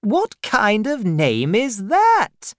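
A woman voicing a stern, brusque older aunt who gives orders in a slow, emphatic manner while reading a storybook aloud. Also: medium pitch, wildly swooping pitch, no room sound, studio quality, pitched above the performer's own voice, exaggerated voice. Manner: brisk, bossy, slowed and emphatic.

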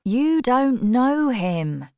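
A woman's voice: fairly high pitch, big pitch swings, drawn-out words, dark tone, clear, resonant tone, no room sound, clean studio sound, voice pushed up, exaggerated voice. Woman reading as a tired, worried mother. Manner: anxious, pleading.

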